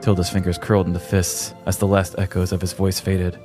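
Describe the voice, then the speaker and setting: A man with a low voice narrates a scripted comic true-crime tale. His delivery is dramatic, in the manner of a storybook. Faint music plays underneath. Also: monotone, brisk. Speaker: podcast host.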